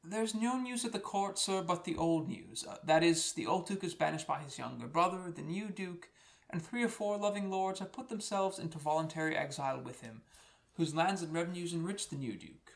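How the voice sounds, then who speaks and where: Court wrestler, male actor, higher-pitched with gravelly creak, deferential, classical audio drama. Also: animated, brisk. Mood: respectful. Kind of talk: Shakespearean radio play acting.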